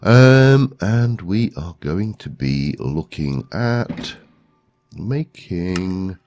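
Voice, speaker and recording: A man's voice: sits low, lively intonation, drawn-out words, darkish tone, slight background noise. A man with a stuffy head cold, hosting a gaming video with casual, rambling commentary and lots of ums. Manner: rambling, talks to himself while searching, many ums.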